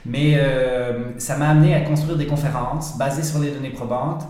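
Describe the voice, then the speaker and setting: A man's voice: mid-range voice, steady tone, moderate pace, a little mellow. A man in his forties with a Quebec French accent touched by Swiss, explaining things casually as an interview guest. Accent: Quebec French mixed with some Swiss. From Switzerland and Quebec, Canada. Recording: very echoey, reverberant room.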